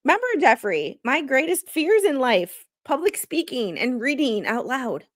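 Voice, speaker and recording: Casual woman livestreamer, halting and chatty. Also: sits high, sing-song. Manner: informal, chatty, self-deprecating, halting when reading aloud. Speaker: livestream host and legal commentator, non-lawyer. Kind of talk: casual live commentary while reading legal filings aloud.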